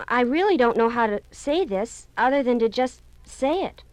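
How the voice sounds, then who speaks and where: A woman's voice: fairly high pitch, animated, moderate pace, dry recording, close to an everyday voice. An actress in a 1950s radio drama playing a young woman who reads out her breakup letter, hesitant and apologetic.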